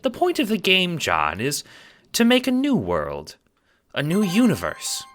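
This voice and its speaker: A man's voice: sits high, wildly swooping pitch, measured pace, a bit bright, slight background noise, voice pushed up, exaggerated voice. A man voicing a kindly, playful elderly grandmother spirit with sweet, folksy, theatrical delivery in an audio drama. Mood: grand, encouraging. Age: older. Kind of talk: audio drama character acting.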